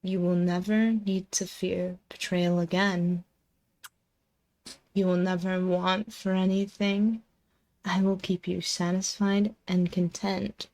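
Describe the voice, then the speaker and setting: Slow, possessive demoness voiced by a woman. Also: lowish voice, level tone, a little mellow, rough recording. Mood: possessive, commanding. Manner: slow, commanding, teasing, doting. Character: possessive, dominant seductive female demon in a stolen body. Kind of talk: intimate ASMR roleplay. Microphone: close binaural microphone.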